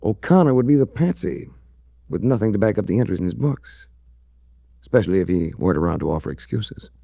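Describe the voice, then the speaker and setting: Low, creaky suave American radio detective. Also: male voice, expressive, fairly quick, warm tone, no room sound. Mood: probing, matter-of-fact. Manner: smooth, dry, worldly understatement. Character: suave, unflappable male adventurer-detective, wry and shrewd. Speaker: lead actor and first-person narrator in a radio detective series. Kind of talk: 1940s radio drama acting and narration.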